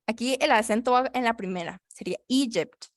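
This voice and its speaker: A woman's voice: on the high side, a little fast, no echo. Woman, English teacher, patient, repeats words, dry close sound, video call. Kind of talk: online English lesson.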